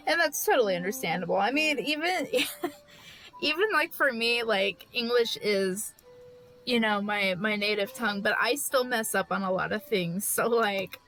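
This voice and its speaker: A woman's voice: medium pitch, normal speed, fairly bright tone, soft background music, low-quality recording. A woman from California chatting casually with viewers while drawing on a live stream, rambling with lots of fillers and restarts. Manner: rambling and chatty, with restarts and frequent "like" and "you know".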